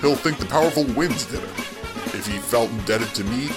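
A man's voice: medium pitch, lively intonation, fairly quick, close to an everyday voice. Man reading as a confident superhero, over music. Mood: concerned, calculating. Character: confident, calculating male superhero thinking aloud. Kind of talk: comic-book dramatic reading.

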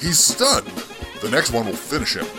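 Comic-book superhero, man acting, mid-pitched, confident inner monologue, music underneath. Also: wildly swooping pitch, moderate pace. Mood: concerned, calculating. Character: confident, calculating male superhero thinking aloud. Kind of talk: comic-book dramatic reading.

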